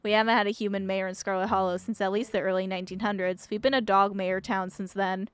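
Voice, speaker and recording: Woman acting as a prickly young woman, game character, medium pitch, curt, dismissive, dry delivery. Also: flat delivery, quick pace, clean tone, lightly acted. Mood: scornful, dry. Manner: blunt, dismissive, sardonic. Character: guarded, prickly small-town young woman, blunt and dry.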